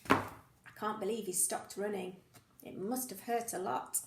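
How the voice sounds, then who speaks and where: A woman teacher reading a picture story aloud to young children, playful and expressive, with sound effects and questions for the listener. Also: mid-range voice, normal speed, creaky, echoey room, heavy background noise.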